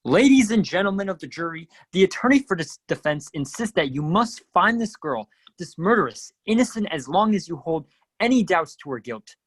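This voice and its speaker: A man playing a forceful, accusing district attorney in a radio play, theatrical and emphatic. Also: high-pitched, very animated, brisk, breathy edge, no room sound, exaggerated voice. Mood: emphatic, damning. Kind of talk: radio play courtroom acting.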